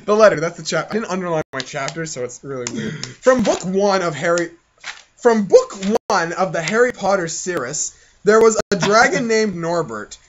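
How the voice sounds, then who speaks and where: Male podcast host, high voice with big swings, giggly and sweary, reading aloud between casual asides; muffled recording. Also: lively intonation, moderate pace, noisy background. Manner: giggly, self-mocking, foul-mouthed. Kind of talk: reading own childhood fan fiction aloud with casual banter.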